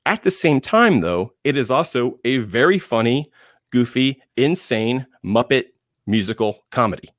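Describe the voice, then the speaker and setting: A man speaking as a panelist in enthusiastic film talk, emphatic, with dramatic pauses and phrases repeated for effect. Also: mid-range voice, lively intonation, a bit bright, no echo, studio quality.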